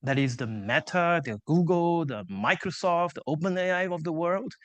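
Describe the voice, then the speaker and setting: Native Chinese-speaking male professor, slightly higher pitched, explaining in an easy lecturing manner with asides. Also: lively intonation, moderate pace. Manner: explanatory, self-deprecating humor, frequent 'so-called' and 'right?'. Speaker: invited seminar speaker, engineering professor. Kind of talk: academic seminar lecture. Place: lecture room with live audience.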